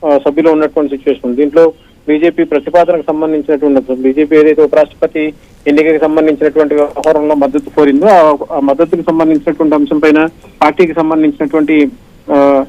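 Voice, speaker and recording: A male correspondent, giving a live news report in a rapid, flat run with many "aa" fillers. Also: fairly high pitch. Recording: dry, dull radio-like line.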